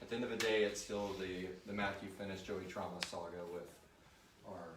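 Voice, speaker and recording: A man's voice: low-pitched, steady tone, fairly quick. A man hosting an informal, jokey live Q&A as a podcast creator, reading questions and chatting through the answers. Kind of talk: casual live Q&A chat. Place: room with group on live stream. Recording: roomy, distant mic, noisy live stream.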